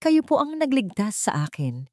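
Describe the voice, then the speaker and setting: A woman's voice: on the high side, big pitch swings, moderate pace, mellow, no room sound, clean studio sound, voice pushed up. A woman voicing a humble, wronged young wife in a dramatic audio storybook, polite, hesitant and earnest. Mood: shaken.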